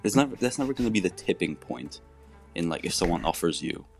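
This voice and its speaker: Teen boy, very deep, gravelly, rambling and sarcastic, faint music. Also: very animated, quick pace. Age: teens. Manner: rambling, sarcastic, mimics others. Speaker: student guest.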